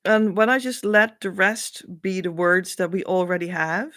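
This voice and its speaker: A woman with a Dutch accent, a spiritual coach thinking aloud as she reads meaning into letters, with many pauses and hesitations. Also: medium pitch, a bit bright, no echo, studio quality. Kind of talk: casual spiritual reading.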